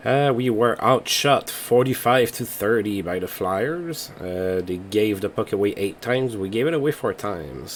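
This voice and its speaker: A man with a somewhat low voice hosts a relaxed let's play, reading through results and weighing choices out loud. English is not his first language, and he talks in an easygoing, unhurried way. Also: slight background noise. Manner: thinks aloud while reading game results. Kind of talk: casual gameplay commentary.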